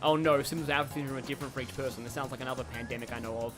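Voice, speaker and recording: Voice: medium pitch, steady tone, very fast. Chatty game streamer over music. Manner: rambling, chatty, reacts aloud to the game. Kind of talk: casual livestream commentary while playing a video game.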